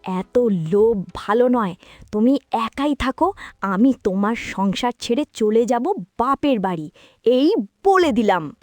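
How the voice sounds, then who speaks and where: A woman voicing the sharp-tongued, sarcastic wife of a miserly fox in a comic cartoon, scolding as she talks. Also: on the high side, expressive, a little slow, no echo, soft background music. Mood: reproachful.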